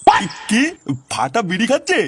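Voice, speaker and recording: A man's voice: high voice, huge pitch swings, normal speed, a little hiss or hum. A man voicing a protective, scolding old grandfather in a comic cartoon dub, given to exclamations. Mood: shocked. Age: older.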